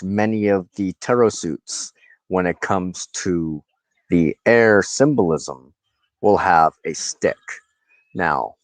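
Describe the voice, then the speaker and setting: A man giving an informal spiritual talk as a reverend and teacher, speaking in a halting, deliberate way with drawn-out pauses. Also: sits low, no room sound. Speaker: host, pagan reverend and teacher.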